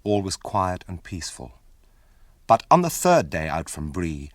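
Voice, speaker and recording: An actor in a radio drama narrating a fantasy journey as a calm, stately storyteller. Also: male voice, low-pitched, measured pace, no echo, studio quality.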